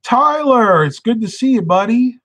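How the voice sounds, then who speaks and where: A man's voice: extremely high pitch, lively intonation, unhurried, fairly bright tone. A young American man chatting casually as the host of a livestream. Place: small furnished bedroom, indoors.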